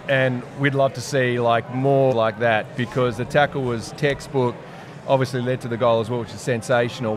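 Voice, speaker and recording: An Australian man co-hosting a casual post-game podcast, asking long, rambling questions at a boom mic in a busy room after a match. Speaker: podcast co-host. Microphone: boom mic on arm, headphones. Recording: loud crowd chatter behind.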